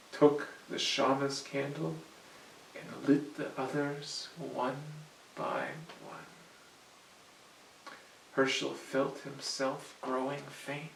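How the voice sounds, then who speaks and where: A man reading a children's story dramatically, moving between hushed suspense and sudden swells. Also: medium pitch, vocal fry, slight background noise. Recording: roomy, echoing, distant mic.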